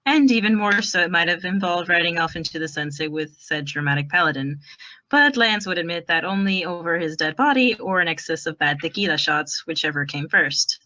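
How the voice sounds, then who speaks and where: A woman's voice: on the low side, expressive, fairly quick, sharp tone, rough recording. A woman reading fan fiction aloud as an amateur narrator, in a wry, informal storytelling manner.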